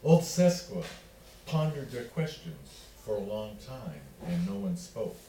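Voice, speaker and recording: Male author, literary reading, soft-edged dark tone, deliberate pauses, echoing room, faint background noise. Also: lowish voice, big pitch swings. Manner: measured, deliberate phrasing with pauses. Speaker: author reading own work. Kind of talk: literary reading of narrative fiction. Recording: roomy, distant miking with faint noise.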